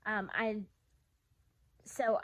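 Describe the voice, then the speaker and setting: A woman giving a casual vlog house tour, talking in a halting, gushing, conversational way. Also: medium pitch, little pitch movement, a bit bright, a little hiss or hum.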